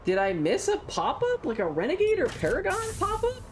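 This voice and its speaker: A woman's voice: sits high, huge pitch swings, fairly quick, slight background noise. A woman streamer giving casual, reactive commentary on a video game, playful and slangy with some swearing.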